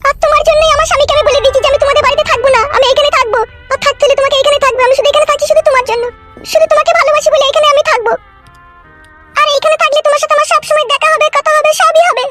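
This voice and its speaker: A woman voicing a stubborn young wife in a comedy cartoon, with a squeaky childlike pitched-up voice and a bossy, insistent manner. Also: steady tone, racing. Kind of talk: amateur comedy cartoon dubbing. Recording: loud music under the voice.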